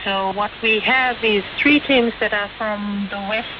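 Woman, sports official, explanatory interview, medium pitch, shortwave radio, loud hiss. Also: steady tone, measured pace. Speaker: interviewed sports association president. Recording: very noisy, dull shortwave radio broadcast.

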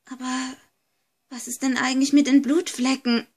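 A woman's voice: high-pitched, little pitch movement, normal speed, gravelly, darkish tone, slightly rough, exaggerated voice. A woman playing a frightened, hesitant girl exploring a haunted villa in an amateur horror audio drama. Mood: nervous. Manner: hesitant, anxious.

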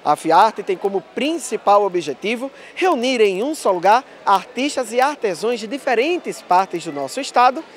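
A man reading a news report as voice-over, in a lively, animated way. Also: sits high, moderate pace, hardly any pauses.

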